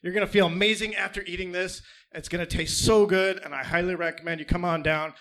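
A shifty small-time fried-food huckster, played by a man with a fairly high voice, stumbling through evasive sales talk full of fillers. He dodges questions and pushes his product with eager patter, as in an improvised comedy podcast. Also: fairly even intonation, quick pace, pushed and bright. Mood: salesy. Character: shifty fast-food owner hawking dubious fried pigeon. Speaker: guest pitching a restaurant.

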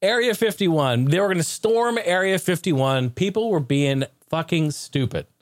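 A man hosting a podcast in casual comedic chat, talking in a jokey, excitable, informal way. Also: a little high, unhurried, dry recording, clean studio sound. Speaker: podcast host.

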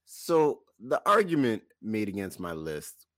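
Male podcast co-host, casual and emphatic, highly animated intonation, dry sound. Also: a little slow, no echo. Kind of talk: casual banter.

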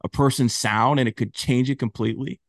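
A man hosts an interview in an easygoing tone, with a voice of middling pitch. He strings his questions together loosely as he thinks aloud. The sound is dry and close, with no room echo. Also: brisk. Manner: relaxed, conversational, long rambling questions. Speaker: podcast host, interviewer. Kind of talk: casual interview chat.

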